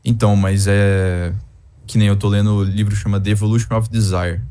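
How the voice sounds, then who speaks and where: A man thinks aloud in a relaxed, hesitant way, using many fillers. His voice sits on the low side. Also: moderate pace, smooth. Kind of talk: casual discussion.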